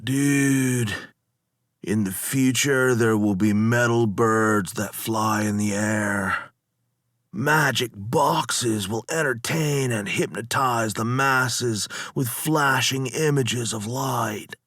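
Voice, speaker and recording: A man's voice: mid-range voice, takes it slowly, fairly bright tone, no echo, studio quality. A man voicing a blissed-out, dopey stoner in a story, with slurred, slangy, spaced-out drawling. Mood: dreamy prophetic. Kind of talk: acted storytelling.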